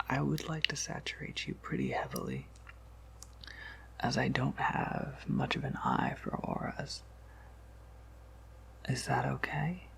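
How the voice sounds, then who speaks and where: Guy doing a soft whispery vampire shopkeeper for asmr, super crackly voice, polite n a bit scattered, takes his time. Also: heavily acted. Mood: gentle, attentive. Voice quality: breathy whisper breaking into creaky low murmur. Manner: gentle, considerate, hesitant with small asides. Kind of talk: whispered ASMR roleplay addressed to the listener.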